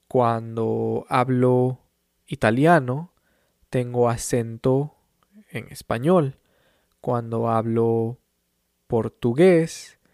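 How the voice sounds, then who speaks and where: A man from Peru teaches in an unhurried, deliberate way, letting each phrase land before the next. His tone is calm and reassuring, and he now and then repeats a point in English. The recording is dry and close, with no room sound. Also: mid-range voice, no room sound. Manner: calm and encouraging, with brief English glosses. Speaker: language teacher. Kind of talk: slow, clear teaching monologue.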